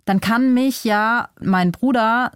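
A young German-born woman author whose first language is Vietnamese, speaking reflectively in a relaxed interview and thinking aloud with small restarts. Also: fairly high pitch, takes it slowly, clean tone, no echo, clean studio sound. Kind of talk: casual interview talk.

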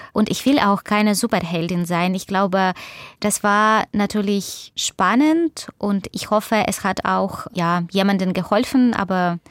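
A woman's voice: mid-range voice, no echo, clean studio sound. Reflective Ukrainian woman with a Russian-native accent. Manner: reflective, searching for words. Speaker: interview guest, author and doctor. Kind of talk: conversational interview answers.

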